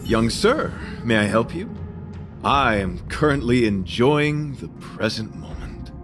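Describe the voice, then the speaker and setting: A man's voice: mid-range voice, big pitch swings, takes it slowly, over music. A man voicing a noble, earnest, courteous knight who speaks formally and grandly in a video game. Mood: solemn, courteous.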